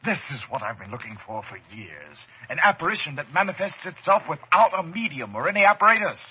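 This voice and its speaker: A man playing an obsessive, excitable ghost-hunting doctor in a radio horror drama, speaking in a theatrical, halting, fervent way. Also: a little high, slightly rough, heavily acted. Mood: fervent excitement. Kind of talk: old time radio horror acting. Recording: dull, hissy old radio recording.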